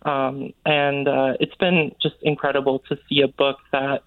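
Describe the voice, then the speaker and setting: A man's voice: mid-range voice, little pitch movement, measured pace, no room sound. American man on a tinny phone-like line. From Connecticut, United States. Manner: informal, peppers speech with "like". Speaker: interview guest, author and playwright. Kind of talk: casual interview talk.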